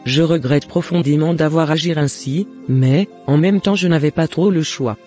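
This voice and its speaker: A man voicing a remorseful middle-aged mother in scripted cartoon dialogue, explaining herself in a measured, apologetic way. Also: a little high, a little mellow, soft background music, natural voice.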